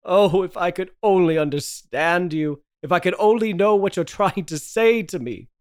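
A man's voice: high-pitched, moderate pace, clear, resonant tone, close to an everyday voice. A man playing a frantic, guilt-ridden husband who dotes on his wife in a melodramatic, pleading horror radio play. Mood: panicked, pleading. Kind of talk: 1930s horror radio play table read.

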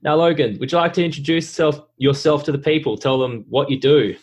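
A hoarse man with a cold, a podcast host asking friendly, chatty interview questions. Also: fairly high pitch, fairly quick.

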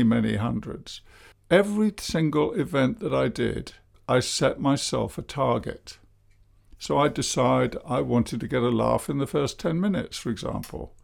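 Gravelly man, self-deprecating. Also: medium pitch. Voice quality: flat voice. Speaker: hypnotherapist and public speaker. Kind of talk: reflective monologue.

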